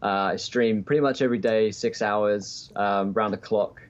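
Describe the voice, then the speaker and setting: A man's voice: fairly low pitch, fairly even intonation, moderate pace. A man with an English accent chatting casually as a podcast guest over a remote call. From England, just outside London. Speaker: podcast guest, Twitch D&D streamer. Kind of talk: casual interview chat. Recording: dull lo-fi call audio.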